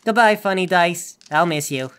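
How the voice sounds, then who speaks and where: A man's voice: high voice, normal speed, fairly bright tone, clear, resonant tone. A man playing a tabletop game, casually bantering and stating absurd things in a literal, matter-of-fact way.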